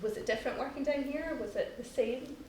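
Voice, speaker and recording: A woman interviewing a guest in a post-show discussion, asking her questions slowly with many fillers. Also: high voice, darkish tone. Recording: echoey room, distant mic, noisy.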